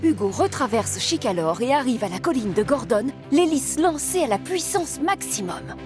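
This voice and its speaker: Une femme qui raconte un dessin animé pour enfants en français, de façon expressive, comme narratrice. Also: female voice, high-pitched, moderate pace, over music. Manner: expressive storytelling. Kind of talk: scripted children's cartoon narration.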